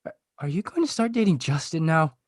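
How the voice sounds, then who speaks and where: A man playing a beleaguered, sarcastic high school class president in a comedy table read, halting, defensive and easily brought to tears. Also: big pitch swings, no room sound, studio quality. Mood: distraught.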